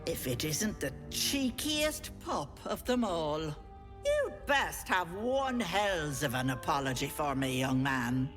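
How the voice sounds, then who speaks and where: Sly old auntie hag, wildly swooping voice. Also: female voice, sits low, very animated, slow, over music, exaggerated voice. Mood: bossy scolding. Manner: cloyingly sweet pet names turning to scolding threats. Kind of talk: theatrical video game character acting.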